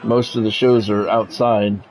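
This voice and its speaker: A man's voice: on the low side, flat delivery, unhurried, a little mellow, poor audio. A man streaming a sports game, mixing radio-style play-by-play with casual chat.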